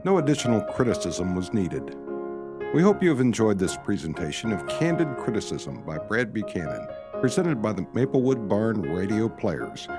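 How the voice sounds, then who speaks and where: Lively low-voiced male radio announcer over music. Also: normal speed. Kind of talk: scripted closing credits over music.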